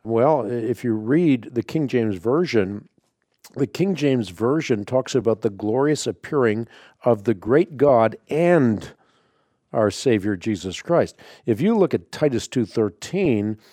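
A man's voice: medium pitch, expressive, a little slow, mellow, dry recording. A Dutch-born man hosting a call-in radio show, explaining Bible translation earnestly and emphatically, often restarting his sentences. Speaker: radio show host answering callers.

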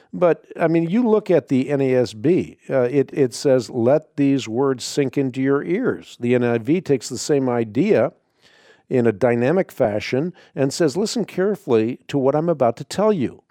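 A middle-aged man whose first language is Dutch hosts a call-in radio show from a dry studio. His voice is mellow and warm, and he answers callers emphatically, stumbling into repeated words as he works through each point. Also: medium pitch, no echo. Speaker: radio host.

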